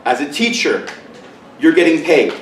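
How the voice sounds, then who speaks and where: A middle-aged male teacher giving a conference presentation in a loud, booming voice with joking asides, recorded from far off in a large room. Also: normal speed, reverberant, slight background noise. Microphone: camera mic from far off.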